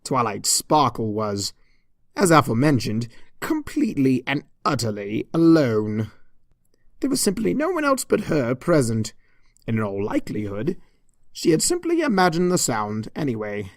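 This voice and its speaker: Super animated narrator reading a funny story, arch and mock-pompous, really dry close recording. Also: mid-range voice, moderate pace, no room sound. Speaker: fan fiction reader. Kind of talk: scripted comedic story narration.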